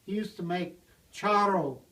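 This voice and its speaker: Older man, slightly high voice, rambling blacksmith storyteller, recorded from across the room on a camera. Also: a little slow, sharp tone. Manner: rambling, chuckles as he tells it. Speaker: blacksmith telling stories. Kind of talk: casual storytelling to a small group. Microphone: handheld video camera. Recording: distant from the mic, roomy.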